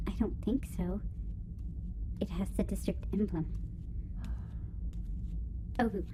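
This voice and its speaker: A woman's voice: mid-range voice, slow, background noise, natural voice. A woman playing an anxious spaceship crewmate in an audio roleplay, speaking urgently and questioningly. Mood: tense, wary.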